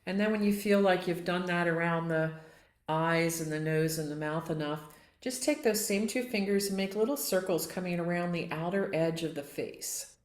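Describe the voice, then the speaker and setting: A woman leading a guided relaxation as a yoga nidra teacher, gently and without hurry, inviting listeners along. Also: fairly low pitch. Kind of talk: guided meditation and relaxation instruction. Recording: roomy, echoing sound.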